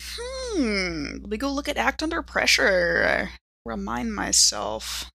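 Woman, game player, bright voice with vocal fry, casual, informal, thinking aloud. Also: a little high, sing-song, takes it slowly, no room sound.

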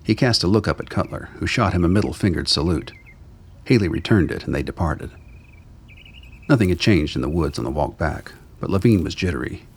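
A man with a gravelly, husky voice narrating a scripted radio drama. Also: deep voice, lively intonation, brisk.

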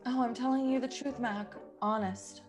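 A woman plays a plucky young heroine of an old radio drama, earnest and imploring. The voice sits fairly high, with music underneath. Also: steady tone, normal speed, close to an everyday voice. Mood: desperate. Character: plucky, pleading young woman stranded in boy's disguise.

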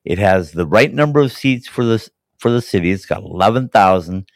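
A man hosting a college hockey podcast, hoarse and raspy from a cold, talking enthusiastically and rambling with fillers and restarts. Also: fairly low pitch, expressive, fairly quick, dry recording, studio quality. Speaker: podcast host. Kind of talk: casual sports chat.

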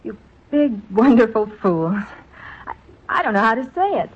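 A kind schoolteacher. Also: female voice, fairly high pitch, big pitch swings, moderate pace, husky. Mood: tender. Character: kind young woman schoolteacher. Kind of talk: 1950s radio drama acting. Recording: dull old radio broadcast.

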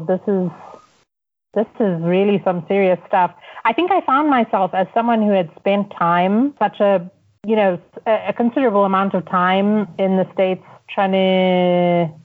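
A woman's voice: medium pitch, normal speed. A woman with a Zimbabwean accent, a guest on a podcast, talking things through reflectively with many restarts and fillers. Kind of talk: casual interview conversation. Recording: tinny phone-like line.

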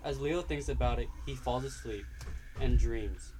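Steady male story narrator. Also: medium pitch, measured pace, noisy background. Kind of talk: read-aloud story narration.

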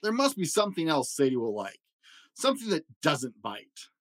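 A man's voice: on the high side, huge pitch swings, normal speed, a bit bright, dry recording, heavily acted. A man voicing a big scary shark who is bossy and soppy in love, in a children's storybook. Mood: frantic.

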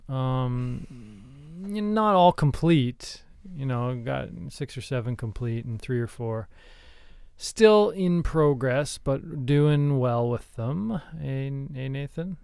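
A man's voice: a little high, animated, takes it slowly, gravelly, a little mellow, clear, resonant tone, no room sound, studio quality. A man co-hosting a podcast in a casual, conversational style. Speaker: podcast co-host. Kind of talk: casual podcast talk about screenwriting.

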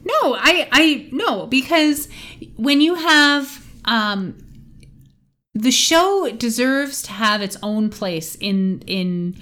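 A woman's voice: a little high, expressive, slow pace, sharp tone. Emphatic woman in casual podcast chat. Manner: emphatic, bantering, playfully argumentative. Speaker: podcast co-host.

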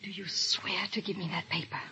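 A woman's voice: on the low side, fairly even intonation, a little fast, pushed and bright. An actress playing a proud, anxious aristocratic lady in a 1930s radio drama, formal and earnest in a theatrical way. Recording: dull, noisy 1930s radio recording.

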